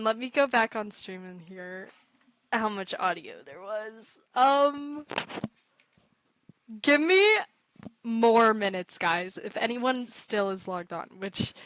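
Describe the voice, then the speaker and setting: Young woman, game streamer, casual chat, giggly, unhurried, dry close sound. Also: fairly high pitch, a bit bright, no echo. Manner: chatty, giggly, self-conscious. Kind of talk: casual live gameplay chat.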